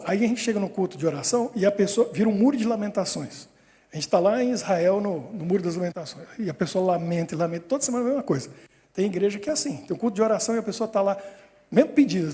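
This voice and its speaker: High-voiced man preaching in a hall. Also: fast. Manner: lively storytelling with frequent chuckles. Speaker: pastor. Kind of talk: sermon. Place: church hall.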